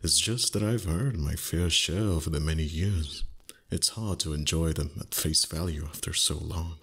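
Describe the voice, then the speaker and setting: A man voicing an ancient, proud dragon, gruff but secretly tender, with haughty, teasing, formal speech in a roleplay ASMR performance. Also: rumbling low, normal speed, a bit bright, exaggerated voice. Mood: cynical, teasing.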